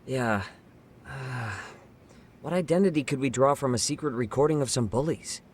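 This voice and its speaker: An actor playing a young investigator sidekick in an English game dub, informal and full of questions. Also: male voice, mid-range voice, moderate pace, slightly rough, natural voice. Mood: casual, helpful. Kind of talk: English video game dub cutscene acting.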